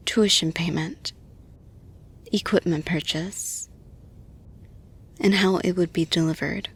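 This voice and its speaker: A woman playing a caring, composed female boss in an intimate audio roleplay, speaking in a soft, breathy, husky, reassuring voice with slow, deliberate phrasing. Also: fairly low pitch, exaggerated voice. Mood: calm, businesslike.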